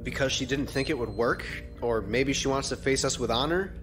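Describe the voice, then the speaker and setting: Guy as a junior fleet lieutenant, mid-pitched, respectful n kinda tentative, clear music under him. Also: brisk, a bit bright, natural voice. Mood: earnest, respectful. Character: deferential, earnest male junior fleet lieutenant. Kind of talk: audio drama acting.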